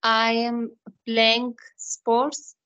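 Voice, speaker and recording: A woman in her thirties with an Afghan accent, answering practice test questions in halting, simple beginner English. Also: a little high, completely flat delivery, a bit bright, clean tone. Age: 30s. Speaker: English learner, test candidate. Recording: dull, lo-fi online call audio.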